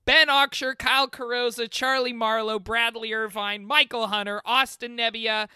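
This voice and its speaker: A woman's voice: fairly high pitch, steady tone, normal speed, sharp tone, smooth, dry recording, clean studio sound. A woman co-hosting a casual podcast discussion, effusive and appreciative. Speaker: podcast co-host.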